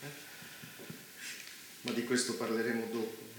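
An actor playing the courteous but condescending master of a dystopian bunker, formal and measured in a stage drama. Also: male voice, medium pitch, completely flat delivery, natural voice. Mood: courteous, hosting. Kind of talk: Italian theatre dialogue. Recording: very echoey theatre, faint noise.

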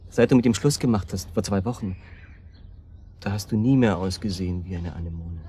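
An actor in a German radio drama playing a conciliatory, soft-hearted male friend with a hesitant way of talking. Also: low voice, fairly quick. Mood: gentle, wistful. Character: conciliatory, gently wistful male friend of siblings. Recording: clearly noisy ambient background.

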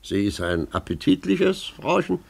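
A man's voice: on the high side, very animated, measured pace, vocal fry, a little mellow, breathy edge, exaggerated voice. An actor playing a blunt, earthy, tactless matchmaking friend in a German radio drama, brash in his delivery. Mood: brash, matter-of-fact.

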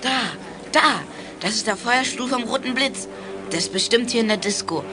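A woman's voice: on the high side, moderate pace, music in the background, close to an everyday voice. A woman playing a bold, impulsive child detective in a children's radio play. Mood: eager, determined.

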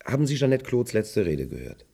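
An earnest inventor doctor in a radio drama. His voice is fairly low, husky and dark, and he explains things formally, with an uneasy, halting edge. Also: sing-song, exaggerated voice. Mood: solemn, measured. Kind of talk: radio play dialogue.

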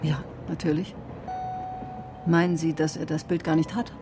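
A woman's voice: low-pitched, level tone, music in the background, natural voice. An actress playing a composed, probing woman in a naturalistic radio drama, speaking politely and persistently. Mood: matter-of-fact. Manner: polite, measured, persistent questioning. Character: composed, probing woman questioning an old man.

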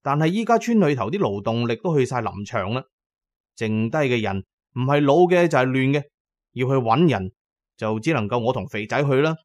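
A man's voice: mid-range voice, animated, normal speed, darkish tone, no room sound. Man narrating an adventure story, dry studio sound. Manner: dramatic storytelling, voicing characters' lines. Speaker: narrator of an audio drama. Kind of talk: scripted first-person story narration.

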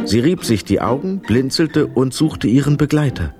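Animated male storyteller with music behind. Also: medium pitch, moderate pace. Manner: expressive, dramatic storytelling. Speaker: narrator. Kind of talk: scripted children's story narration.

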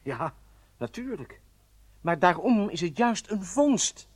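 A man's voice: high-pitched, sing-song, slow pace, husky, full character voice. A male actor playing a confident, playful secret agent in a radio drama. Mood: self-assured.

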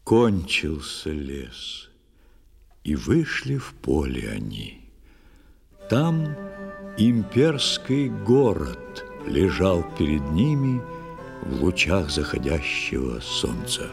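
Male narrator, lively intonation, measured dramatic verse storytelling, children's audio play, faint music behind. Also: very deep voice, vocal fry.

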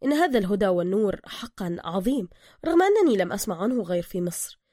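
A woman acts out a male masked vigilante in an audio story, stern and exacting. He speaks in formal, bookish phrases at a quick clip. The sound is close and dry. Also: mid-range voice, big pitch swings, warm tone, no room sound. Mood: pleased, earnest.

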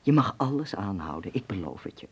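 Low husky worldly friend in a radio play, teasing n bossy, pushes every point. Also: male voice, big pitch swings, fairly quick, full character voice. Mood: teasing, coaxing. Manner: emphatic, teasing, commanding. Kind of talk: radio drama acting.

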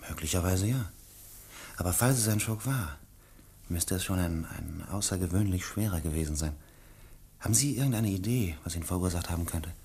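A man acting as a composed chief physician in a German radio mystery, speaking in a deliberate, clinical manner. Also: sits low, animated, dark tone, husky, full character voice. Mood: grave, clinical. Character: composed male chief physician giving a careful diagnosis.

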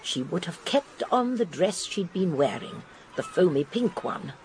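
An actress playing a shrewd, genteel elderly English spinster sleuth in a radio drama, precise and politely firm. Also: female voice, fairly low pitch, very animated, unhurried, a little mellow. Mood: precise, insistent. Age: older. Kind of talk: radio drama acting and narration.

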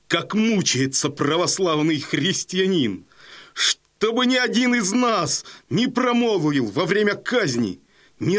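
A heroic young Cossack leader in a radio play. He declaims slowly and solemnly in a high male voice with dramatic rises and falls. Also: fairly bright tone, heavily acted. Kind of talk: audio drama acting.

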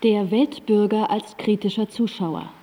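A woman's voice: mid-range voice, unhurried, dark tone. A woman narrating a scripted radio documentary drama in a composed, factual way. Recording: long hall-like reverb on cassette.

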